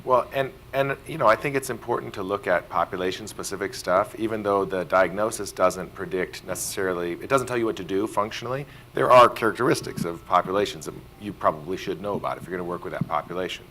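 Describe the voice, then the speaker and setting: A man's voice: deep voice, quick pace, few pauses, sharp tone, slight background noise, rough recording. A man speaking on a conference panel in a reflective, plainspoken way.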